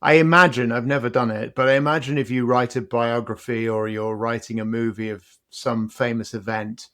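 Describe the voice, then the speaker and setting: A middle-aged man with a British accent speaks as an interview guest, reflective and measured. His voice sits in a middle pitch. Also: flat delivery, a bit bright. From Britain. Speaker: guest, company CEO and author. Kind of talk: interview conversation.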